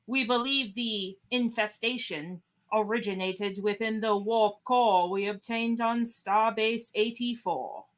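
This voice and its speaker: A woman's voice: fairly high pitch, a bit bright. A woman playing a dignified, authoritative starship captain in a fan radio play. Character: commanding, questioning starship captain, formal and dignified.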